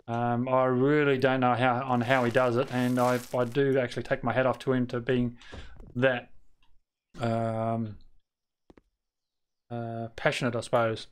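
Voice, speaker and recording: Aussie guy streaming a game, rambly, lots of ums, smooth clear voice, mid pitch. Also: flat delivery. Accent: Australian. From Australia. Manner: rambling and halting, many fillers and restarts, mutters at the game. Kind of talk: casual live-stream chat while playing.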